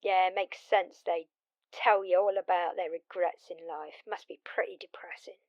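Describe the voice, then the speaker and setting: A woman's voice: on the low side, steady tone, moderate pace, a little mellow, highs cut off, close to an everyday voice. A woman playing a blunt, wry immortal vampire mentor in a one-sided audio drama, conversational and teasing in her delivery. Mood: sympathetic.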